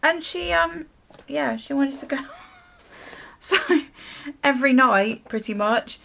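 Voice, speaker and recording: Creaky-voiced woman, rambling casually. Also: high voice, lively intonation, slow, fairly bright tone, a little hiss or hum. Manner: rambling, self-interrupting, chuckly. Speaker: vlogger. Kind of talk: casual storytime chat while getting ready.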